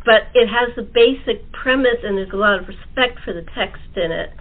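A woman's voice: medium pitch, moderate pace. A middle-aged American woman hosting a casual chat interview, chatty and searching for her words as she goes. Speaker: talk show host. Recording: tinny phone-line audio.

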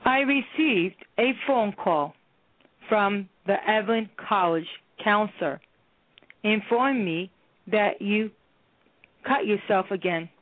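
A woman acting a stern female counselor and therapist in a radio play, speaking slowly and firmly. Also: medium pitch, animated, dry recording. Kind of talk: amateur radio play reading. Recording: tinny phone-line radio broadcast.

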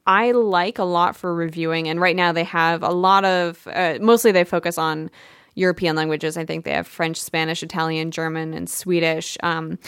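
A woman's voice: lowish voice, fairly even intonation, moderate pace, fairly bright tone, clean tone, no echo, studio quality. A woman chatting casually as a panelist on a podcast. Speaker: podcast panelist, games writer. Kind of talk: casual conversational podcast talk.

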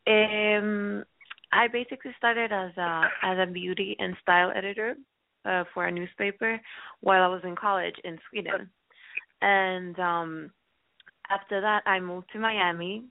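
A woman in her twenties from Finland, a guest entrepreneur, answering with many pauses and restarts. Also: mid-range voice, steady tone, creaky, dry recording. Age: 20s. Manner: hesitant, many ums and restarts. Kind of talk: casual interview answers. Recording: tinny phone line.

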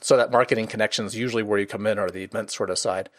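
This is a man with a fairly low voice who hosts an interview podcast. He speaks fast and articulately, steering the conversation with steady questions. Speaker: podcast host.

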